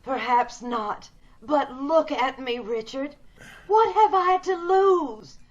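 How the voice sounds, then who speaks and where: A woman's voice: high-pitched, animated, slow pace. A woman playing a bitter, wealthy, commanding woman in an old-time radio drama. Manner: cold, insistent, commanding. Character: wealthy, determined, bitter ugly woman scheming for a new body. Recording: dull video-call audio.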